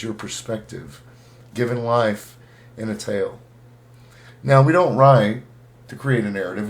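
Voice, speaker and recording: A man hosting a casual writing show, talking to his listeners in a halting way as he thinks aloud. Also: on the low side. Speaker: host of a writing-tips show. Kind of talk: casual talk to listeners about storytelling.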